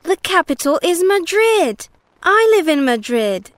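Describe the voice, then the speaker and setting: A woman doing a high, slow-talking child. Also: very animated, slight background noise, exaggerated voice. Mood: friendly. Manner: clear, slow, careful delivery. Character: friendly child from abroad. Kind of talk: scripted textbook dialogue.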